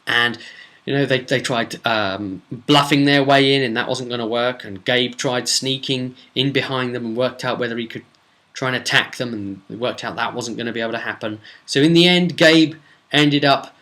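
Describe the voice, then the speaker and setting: A man speaking as a game master, casually retelling a role-play session with emphatic, drawn-out words for suspense. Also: mid-range voice, sharp tone. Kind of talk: casual spoken storytelling recap.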